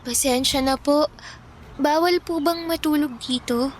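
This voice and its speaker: A woman's voice: sits high, unhurried, a little hiss or hum. A timid, pleading orphan girl. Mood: meek. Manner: pleading, crying, polite. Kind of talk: radio drama acting.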